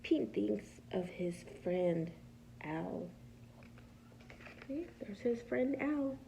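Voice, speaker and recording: A woman reads a picture book to preschoolers with very lively, swooping intonation and an unhurried pace. Her voice has a noticeable creak in it. She stops to ask simple questions and explains patiently, with faint noise behind her. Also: mid-range voice. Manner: animated, asks simple questions and answers them herself; patient, instructive, explains simply for small children. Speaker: preschool teacher reading storytime. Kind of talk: expressive picture-book read-aloud with questions to young children.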